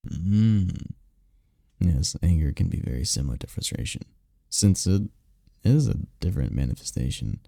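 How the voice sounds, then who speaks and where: Man acting as male incubus lover, very dark husky voice, soothing, teasing, intimate ASMR roleplay, close dry mic. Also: very animated, normal speed, no echo, heavily acted. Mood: tender, reassuring. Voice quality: gravelly, dark low voice. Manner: soft, soothing, teasing, lapses into whispers. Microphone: close microphone, dry.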